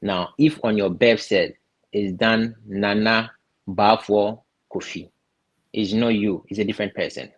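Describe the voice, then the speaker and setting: A man from Ghana giving casual step-by-step advice, often pausing and repeating words as he thinks. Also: lowish voice, steady tone, highs cut off. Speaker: guest explaining visa steps.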